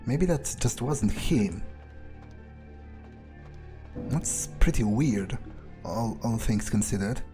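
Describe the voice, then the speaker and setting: Man playing a slow, dark-toned, brooding vampire. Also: on the low side, animated, soft background music. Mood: puzzled musing. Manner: musing, halting, profane. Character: arrogant, brooding vampire player character thinking aloud. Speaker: gaming YouTuber. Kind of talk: in-character game playthrough monologue, thinking aloud.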